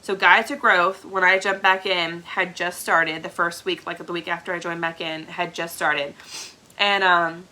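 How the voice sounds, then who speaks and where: A woman's voice: on the low side, steady tone, measured pace, pushed and bright. A young woman, stuffed up from congestion, chatting casually as she trains new sellers on a live stream from home and often checking in with "okay?". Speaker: direct sales team leader. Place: home room with a product shelf.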